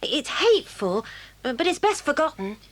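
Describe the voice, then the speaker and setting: A woman's voice: high voice, sing-song, normal speed. An actress with an English accent playing an earnest, fair-minded schoolgirl in a children's audio drama. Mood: earnest, reluctant.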